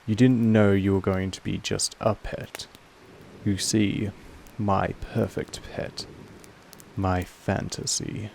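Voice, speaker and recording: A man voicing a possessive, patient, commanding vampire master in a roleplay audio monologue, speaking in a slow, deliberate way with weighty pauses. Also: darkish tone, slight background noise, poor audio. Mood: possessive, tender. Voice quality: low, creaky. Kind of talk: scripted roleplay audio monologue addressed to the listener.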